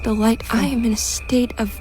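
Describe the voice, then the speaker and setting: An actress playing a wistful, candid young heiress in a radio drama, speaking earnestly and hesitantly with a private unease. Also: female voice, fairly high pitch, little pitch movement, fairly quick, a little mellow, soft background music, close to an everyday voice. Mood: hushed, anxious. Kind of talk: radio drama dialogue and inner monologue.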